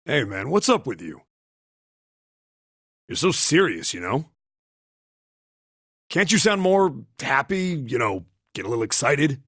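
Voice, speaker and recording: Husky, gruff older big guy. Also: mid-range voice, very animated, a little slow, pauses often, fairly bright tone, no room sound, heavily acted. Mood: teasing. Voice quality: husky, rough. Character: big gruff older man, blunt and teasing. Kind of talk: English video game voice acting.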